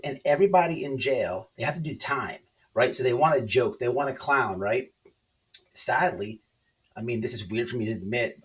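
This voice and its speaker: A man's voice: medium pitch, distant mic. A man hosting an online commentary channel, talking casually and emphatically to viewers, repeating phrases and checking in with rhetorical tags. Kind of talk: casual opinionated monologue to viewers.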